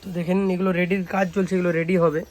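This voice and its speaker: Young male shop presenter, West Bengali accent, high voice, informal showcase delivery with frequent check-in tags, faint ambient noise. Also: level tone, racing, a little mellow. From West Bengal, India. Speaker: speaker repair shop presenter. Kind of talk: informal product showcase vlog.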